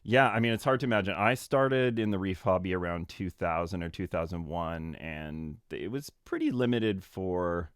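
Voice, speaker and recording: A man's voice: low-pitched, clean tone, dry recording, clean studio sound. A man hosting a podcast, talking in an easygoing, chatty way. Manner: easygoing, chatty, quick laughs. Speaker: podcast host. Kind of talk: casual podcast chat.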